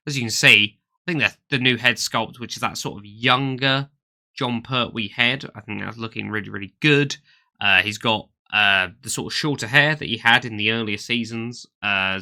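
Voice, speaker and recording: Bright-voiced man with a cold. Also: medium pitch. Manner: enthusiastic, rambling with many uh fillers and restarts. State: has a cold, slightly nasal. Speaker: toy collecting channel host. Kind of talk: casual hobby news chat.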